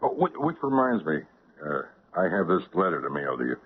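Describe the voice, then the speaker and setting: An actor playing a harried, defensive hotel manager in an old radio drama. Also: male voice, a bass voice, big pitch swings, a little hiss or hum. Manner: defensive, hurried. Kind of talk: 1970s radio drama acting. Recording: tinny band-limited old radio broadcast.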